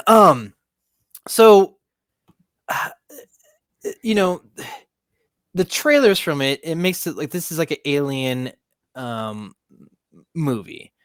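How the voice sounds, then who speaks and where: A man's voice: on the high side, fairly bright tone, dry recording, clean studio sound. A man co-hosting a casual movie podcast, talking in a hesitant, rambling way and stumbling over words. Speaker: podcast co-host.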